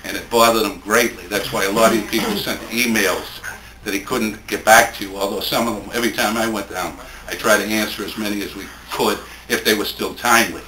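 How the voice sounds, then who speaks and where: Man with bright voice in echoey room. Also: fairly low pitch, fairly even intonation, fairly quick. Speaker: speaker reading a late friend's poems at a tribute. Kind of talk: poetry reading with informal spoken introductions. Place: indoor room at a live poetry event. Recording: roomy, echoing event recording with faint noise.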